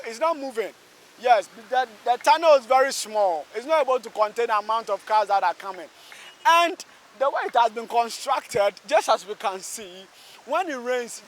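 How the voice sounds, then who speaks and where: A man with a Ghanaian accent reporting from the roadside and interviewing people as a news reporter. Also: very high voice. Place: outdoors at a busy roadside underpass.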